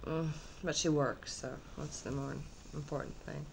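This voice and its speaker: A woman with a low, slightly husky and nasal voice chats to her camera at home. She rambles from one thought to the next and often leaves sentences unfinished, with a faint hiss in the background. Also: steady tone, normal speed, a little mellow, rough recording. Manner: rambling, trailing off mid-thought. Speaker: vlogger. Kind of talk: casual rambling vlog. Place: her own apartment.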